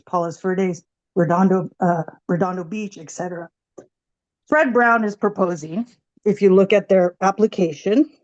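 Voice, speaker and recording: A woman making a prepared public comment at a meeting, with an emphatic, deliberate way of talking. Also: mid-range voice, darkish tone.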